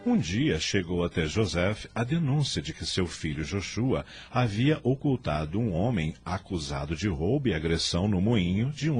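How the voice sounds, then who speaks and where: A solemn man with a Brazilian accent narrates a radio drama. His deep voice rises and falls dramatically over clearly audible music, with literary phrasing. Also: a little mellow.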